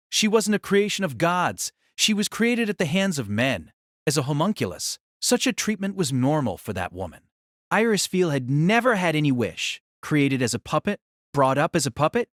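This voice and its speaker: A man narrating a novel as a dramatic audio reading, solemn and theatrical, with measured phrasing. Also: a little high, no echo, clean studio sound.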